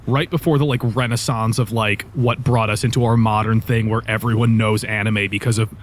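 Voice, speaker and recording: A man co-hosting a gaming let's play, chatting casually and telling rambling, animated asides. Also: on the low side, fairly quick, a little hiss or hum. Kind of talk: casual chat over video game play.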